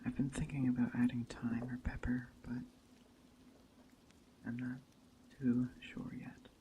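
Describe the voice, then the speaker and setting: A man speaking softly and deliberately in an ASMR roleplay as a gentle witch, often slipping into a whisper. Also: mid-range voice, flat delivery. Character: gentle pagan witch teaching a traveler about a holiday. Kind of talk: ASMR roleplay, soft-spoken character monologue. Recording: dull muffled audio, faint ambient noise. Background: soft nature ambience of rain and forest.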